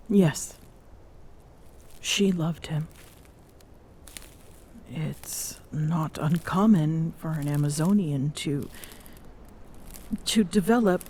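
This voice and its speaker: A woman acts as a smitten Amazonian warrior queen in an intimate ASMR audio roleplay. Her voice is low and extremely slow, soft and pensive, often slipping toward a breathy whisper. She sounds tender and wistful yet guarded, with some background noise and ambience behind her.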